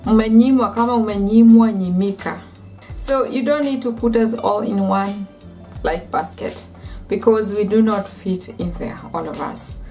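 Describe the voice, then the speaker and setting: A woman's voice: medium pitch, normal speed, mellow, soft background music. A woman with a Kenyan accent ranting to camera as a vlogger, emphatic and repetitive, with lots of "like". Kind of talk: opinion rant to camera.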